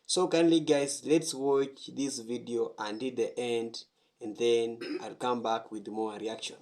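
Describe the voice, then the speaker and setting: Slow-talking man hosting a reaction channel. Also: mid-range voice, fairly even intonation, darkish tone. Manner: slow, friendly address to viewers. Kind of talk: casual video intro.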